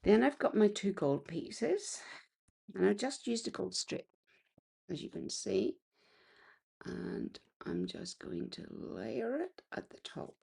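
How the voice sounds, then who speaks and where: Casting: unhurried crafter woman, gravelly and husky, croaky today. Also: mid-range voice, wildly swooping pitch, warm tone. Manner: chatty, clears throat often. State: slightly croaky voice. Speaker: card-making crafter. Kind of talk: casual craft tutorial.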